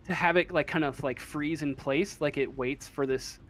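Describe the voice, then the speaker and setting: A man improvising narration as a tabletop game master, halting as he searches for words. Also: a little high, fairly bright tone. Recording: faint room noise.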